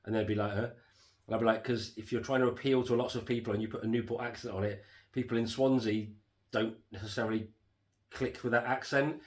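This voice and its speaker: A man co-hosting a casual home podcast, rambling with lots of fillers and restarts, his voice going hoarse. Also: on the low side, a little mellow. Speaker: podcast co-host reviewing a TV series. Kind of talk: casual chat.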